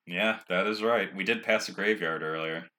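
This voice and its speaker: A man's voice: sits low, moderate pace, pushed and bright. A man improvising casually as a player in a tabletop role-playing game, slipping in and out of character with colloquial, exasperated talk.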